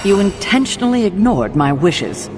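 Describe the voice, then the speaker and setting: A woman's voice: mid-range voice, very animated, moderate pace, a little mellow, natural voice. Actress doing stern worried lady team leader, game cutscene, firm n disapproving, big reverb, music under it. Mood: resigned, frustrated. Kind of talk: dramatic video game cutscene acting. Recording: long reverb, music behind.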